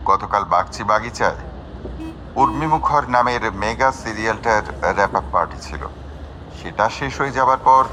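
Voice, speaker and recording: An actor playing a cooperative police officer who gives measured, pause-filled case briefings in an audio detective drama. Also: male voice, mid-range voice, noisy background, natural voice. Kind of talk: Bengali radio detective drama acting.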